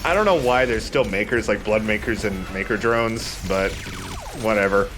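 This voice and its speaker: A man's voice: on the low side, moderate pace, over music. A man giving casual commentary over a video game, talking in a conversational let's play style. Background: video game sound.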